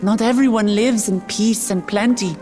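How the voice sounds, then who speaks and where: A woman plays a young princess in a video game, speaking to her nation. Her mid-pitched voice is sincere and measured, an earnest heir with hope for her people, with music clearly behind. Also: darkish tone, natural voice. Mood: hopeful, resolute. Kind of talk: heartfelt public speech in a video game cutscene.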